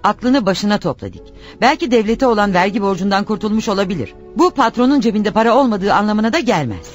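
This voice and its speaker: Radio play wife played by an actress: reproachful, insistent, sarcastic, very animated delivery, faint music underneath. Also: female voice, mid-range voice, brisk, lightly acted. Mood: insistent, persuading. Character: nagging, reproachful wife pushing her jobless husband. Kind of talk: radio theatre acting.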